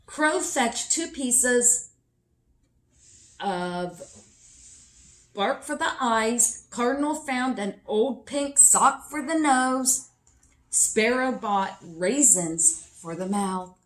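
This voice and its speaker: A woman reading a picture book aloud expressively for children, with deliberate emphasis. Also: fairly high pitch, lively intonation, a bit bright, rough recording. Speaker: children's story reader.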